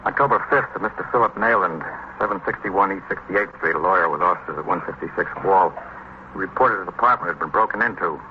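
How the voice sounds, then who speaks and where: Actor as low-voiced, rapid-talking police detective lieutenant. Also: male voice, natural voice. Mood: terse, factual. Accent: American. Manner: clipped, matter-of-fact reporting. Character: male police detective lieutenant, terse and businesslike. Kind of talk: old-time radio police drama acting. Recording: muffled old radio recording, faint noise.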